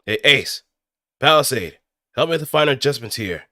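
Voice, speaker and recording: A man voicing a brisk, commanding mecha pilot giving clipped callouts in the style of anime game dialogue. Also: medium pitch, expressive, sharp tone, no echo, clean studio sound, pitched above the performer's own voice.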